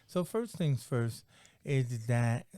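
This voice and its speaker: A man's voice: medium pitch, lively intonation, slow, mellow, dry recording. Rambling, jokey American man hosting. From United States. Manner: rambling and jokey, heavy on "like" and "you know". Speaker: podcast host. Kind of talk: casual interview chat.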